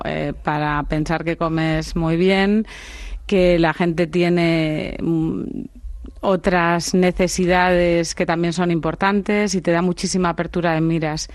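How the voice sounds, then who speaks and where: A woman from Spain, a business leader as the guest in a radio studio, answering in a reflective, unhurried way. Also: on the low side, no room sound. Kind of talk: radio interview conversation.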